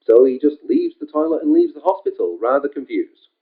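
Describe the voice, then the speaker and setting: A man's voice: very high-pitched, wildly swooping pitch, brisk, vocal fry. A man reading his own short story aloud in a casual, homespun way, leaning often on the word "just". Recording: tinny phone-like sound.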